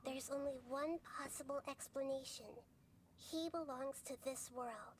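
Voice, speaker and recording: A woman voicing a small, childlike female god for a video game, wise, composed and enigmatic, speaking in articulate, gently formal phrases. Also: sits high, fairly even intonation, measured pace, a little hiss or hum. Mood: serious, mysterious. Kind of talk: video game voice acting, measured explanatory dialogue.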